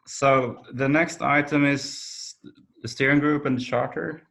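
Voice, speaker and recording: A man chairs an informal work call in a mid-pitched voice with a lot of vocal fry. He talks tentatively, stopping and starting as he goes. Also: fairly even intonation, a little slow, fairly bright tone, low-quality recording. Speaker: meeting chair. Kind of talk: informal meeting talk. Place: remote conference call.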